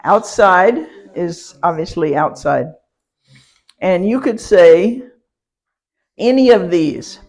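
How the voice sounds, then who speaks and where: Patient woman teaching slow, careful pronunciation. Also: lowish voice, animated, a little mellow. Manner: patient, repeats words slowly for learners; laughs lightly between points. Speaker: citizenship class teacher. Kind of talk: classroom pronunciation lesson. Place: classroom.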